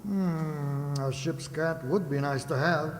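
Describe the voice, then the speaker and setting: A kindly sea captain, played by a man in a radio play, hearty and slow in speech. His fairly high voice echoes through a hall. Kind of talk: children's radio play acting. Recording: echoey hall, faint noise.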